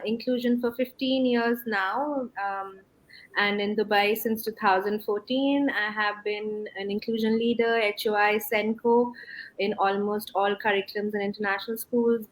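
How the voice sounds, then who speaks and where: A woman from India, an inclusion leader at schools, speaking as an interview guest, friendly and quick. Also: medium pitch, little pitch movement, low-quality recording. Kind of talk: casual interview talk.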